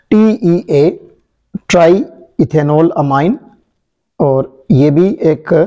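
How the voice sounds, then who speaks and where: Mellow-voiced man demonstrating a chemical formulation. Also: fairly high pitch. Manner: unhurried, explanatory, frequent hesitations. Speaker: chemistry channel presenter. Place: indoor workshop table.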